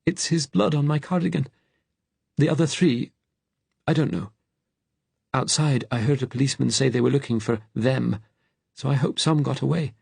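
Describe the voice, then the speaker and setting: A frightened man on the run, voiced by a male narrator in an audiobook drama. The voice is pushed up high, shaken and pleading, with an earnest, worn-out edge. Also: normal speed, vocal fry, long pauses, darkish tone, husky, no echo. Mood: grieving, trembling. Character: frightened, exhausted fugitive man, trembling and earnest.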